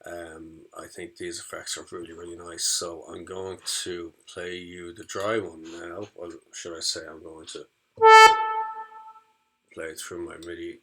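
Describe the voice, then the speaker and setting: A man with a deep voice and a bright, forward edge gives an informal demonstration. His delivery is halting and hesitant, as if feeling his way through the explanation. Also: little pitch movement, breathy edge, faint music behind. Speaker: music student demonstrating audio effects.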